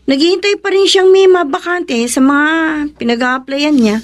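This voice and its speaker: A Filipino actress playing a defiant, fed-up younger married sister, curt and defensive, in a radio drama. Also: female voice, high-pitched, lively intonation, moderate pace. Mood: guarded.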